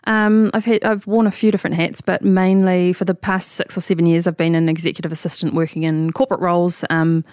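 A woman's voice: lowish voice, level tone, fairly quick, hardly any pauses, a little mellow, no echo, clean studio sound. A woman in her thirties from New Zealand with a New Zealand accent, a coach talking casually and reflectively in an interview, with many fillers. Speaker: guest coach.

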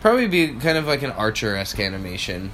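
A man co-hosting a casual podcast, easygoing and thinking out loud as he talks. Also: on the low side, sing-song, fast, slight background noise. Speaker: podcast co-host.

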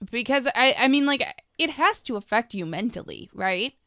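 Female co-host, mid-pitched, reflective and hedging, dry close recording. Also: animated, normal speed, sharp tone, no room sound. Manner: musing, hedging with fillers. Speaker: podcast co-host. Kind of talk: casual chat.

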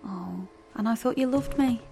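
A woman's voice: a little high, moderate pace, gravelly, mellow, low-quality recording. A woman playing a sardonic, defiant teenage heroine in naturalistic audio drama dialogue. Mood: wry, defiant.

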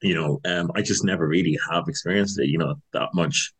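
A man's voice: low voice, a little fast, fairly bright tone, husky. A man in his thirties from Zimbabwe, talking loosely in an interview with lots of fillers and restarts. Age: 30s. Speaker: guest. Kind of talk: casual interview chat.

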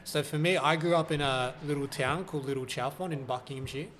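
A young man, born in Australia, chatting as a podcast guest, relaxed and hesitant, searching for words. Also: on the high side, fast talker, a little hiss or hum. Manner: hesitant, many fillers and restarts, relaxed. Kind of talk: casual podcast chat.

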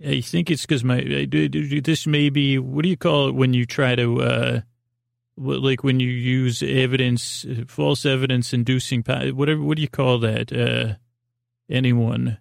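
Creaky-voiced man rambling gently. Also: mid-range voice, fairly even intonation, normal speed, no echo, clean studio sound. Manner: meandering, digressive, often trailing off mid-thought; gentle, reassuring. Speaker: podcast host. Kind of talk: rambling bedtime sleep podcast monologue.

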